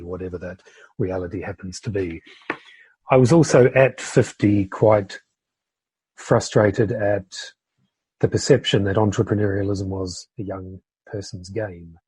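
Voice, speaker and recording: A man in his fifties from the United Kingdom, a podcast guest and entrepreneur, speaking thoughtfully with pauses and fillers. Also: sits low, a little mellow, breathy edge, no room sound, rough recording. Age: 50s. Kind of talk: conversational interview.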